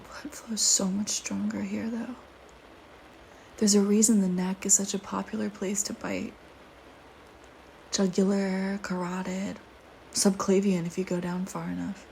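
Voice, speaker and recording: A woman voicing a flirty, teasing, predatory female vampire in an intimate audio roleplay, with playful banter and breathy murmurs. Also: mid-range voice, little pitch movement, a little slow, pauses often, mellow, slight background noise, poor audio. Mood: seductive, hungry.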